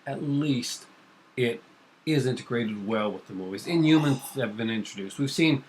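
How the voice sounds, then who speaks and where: A man's voice: medium pitch, moderate pace, darkish tone, slight background noise, low-quality recording. A man co-hosting a casual talk show, earnestly and emphatically arguing his opinions. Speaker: co-host of a comics and TV talk show.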